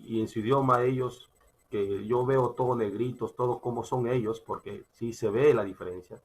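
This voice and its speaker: A man with a Peruvian accent preaching a sermon, emphatic and halting, repeating phrases as he teaches from scripture. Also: medium pitch, fairly even intonation, husky. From Peru. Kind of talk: sermon with Bible reading and personal testimony.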